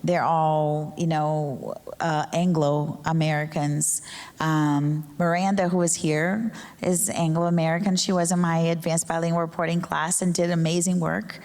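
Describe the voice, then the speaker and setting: Gravelly low-voiced Brazilian woman in a hall. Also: level tone, unhurried, a little mellow. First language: Portuguese. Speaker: journalism professor and panelist. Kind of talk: informal panel talk to an audience. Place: large hall with an audience. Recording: echoey hall with long reverb.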